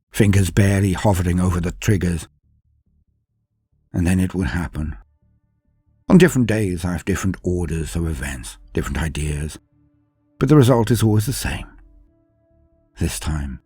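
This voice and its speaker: A man narrating a dramatic first-person war story as a grim, brooding space marine commander, grave and deliberate, with theatrical pauses. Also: low voice, mellow, breathy edge, dry recording. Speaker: lore channel narrator.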